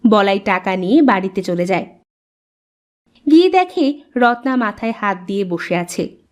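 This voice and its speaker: A woman's voice: medium pitch, sing-song, moderate pace. A woman reading scripted narration for a cartoon story.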